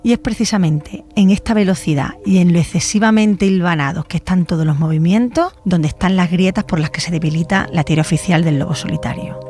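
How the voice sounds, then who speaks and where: A woman's voice: lowish voice, fairly quick, few pauses, a little mellow. A woman with an accent from Spain, hosting a podcast with scripted documentary narration. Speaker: podcast host, journalist.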